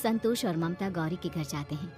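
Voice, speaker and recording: A woman's voice: lowish voice, normal speed, warm tone, soft background music. A woman narrating a scripted horror story as a storyteller.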